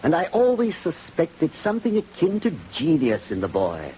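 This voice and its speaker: Sorrowful, rhetorical village clergyman for vintage radio play; animated mid-range voice, muffled sound. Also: fairly high pitch. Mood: wistful. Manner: measured, rhetorical, wistful. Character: thoughtful, sorrowful, bookish village vicar. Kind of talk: 1940s radio acting. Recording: dull, hissy old radio recording.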